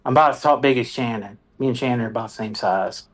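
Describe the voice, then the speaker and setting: A man's voice: medium pitch, fast. A man, a military veteran and songwriter, telling his story in a candid, rambling, self-deprecating way as an interview guest.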